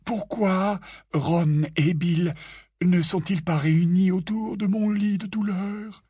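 A man's voice: sits high, steady tone, slow pace, dark tone, breathy edge, no room sound, studio quality, voice pushed up, full character voice. A man voicing a joking young male wizard twin in a storybook reading. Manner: joking, wry.

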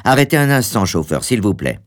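A man voicing a stiff, controlling older husband in a storybook, with a formal, fussy, unhurried manner. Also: fairly low pitch, very animated. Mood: fussy, puzzled.

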